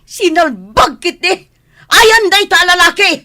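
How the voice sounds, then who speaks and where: A woman acts an exasperated village mother in a high, sharp voice. She scolds with heavy sarcasm and an Ilocano accent, as in a comic radio play. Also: expressive, unhurried, full character voice. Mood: exasperated scolding. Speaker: radio drama performer. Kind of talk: comedy radio drama acting.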